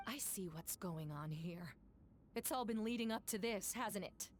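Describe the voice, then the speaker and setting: An actress playing an indignant, accusatory woman knight captain in a game, forceful and dramatic. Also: mid-range voice, measured pace, lightly acted. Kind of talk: English game voice acting.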